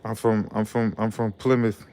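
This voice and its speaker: A young man hosts a casual live stream. He talks in a loose, repetitive way as he reads out viewer comments. His voice is smooth and clean, and it stays fairly flat and even in pitch. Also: normal speed. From Detroit, USA.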